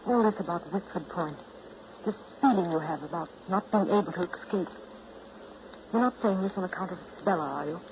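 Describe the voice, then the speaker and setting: An actress playing an earnest, devoted woman in love in a 1930s radio drama, speaking with quiet, urgent insistence. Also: on the low side, fairly quick, close to an everyday voice. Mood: searching, anxious. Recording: muffled 1939 radio broadcast with clear hiss.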